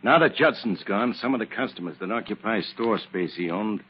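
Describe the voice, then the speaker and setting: An actor plays a ruthless male henchman in a vintage radio crime show. He speaks low, in rough gangland slang. The recording is dull, with plainly audible noise. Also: normal speed, lightly acted. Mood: wary. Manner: slangy, ungrammatical tough talk. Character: tough male gangster henchman, coolly ruthless. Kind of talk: 1940s radio crime drama acting. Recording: muffled, noisy old radio recording.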